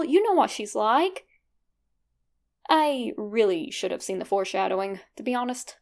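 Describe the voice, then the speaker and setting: A woman narrating a scripted first-person story in a conversational way, with wryly humorous asides. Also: on the high side.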